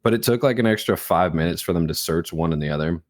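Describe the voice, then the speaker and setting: A man's voice: low-pitched, fast, no echo, studio quality. A young man, co-hosting a podcast, telling rambling stories and quoting people in casual chat. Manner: rambling storyteller, quotes people, swears, laughs. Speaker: podcast co-host.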